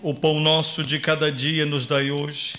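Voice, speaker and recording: A man reciting repeated rosary prayers in a monotone devotional style. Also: on the high side, measured pace, a little hiss or hum.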